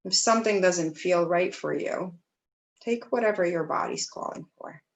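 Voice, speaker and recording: Low-pitched woman guiding gentle breathwork. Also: rough recording. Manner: gentle, soothing, unhurried phrasing with pauses. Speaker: yoga teacher leading a class. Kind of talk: guided breathwork and meditation instruction.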